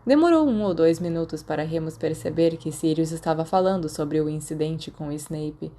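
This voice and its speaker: A woman's voice: sits low, normal speed, warm tone, a little hiss or hum. A woman with a Brazilian accent narrating scripted fiction as an audiobook reader.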